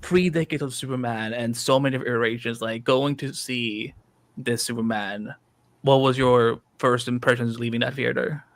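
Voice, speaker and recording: A man's voice: mid-range voice, fairly even intonation, normal speed, no room sound. A man in his early thirties hosting a live podcast review, loose and chatty, full of fillers. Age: 30s. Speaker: podcast host. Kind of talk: casual live-stream chat.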